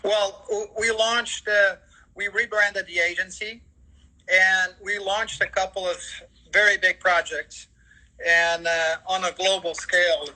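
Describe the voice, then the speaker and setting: Bright-voiced Portuguese man, higher-pitched. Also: fairly even intonation, measured pace, low-quality recording. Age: 50s. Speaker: guest, sports events general manager. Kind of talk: interview answers on a livestream.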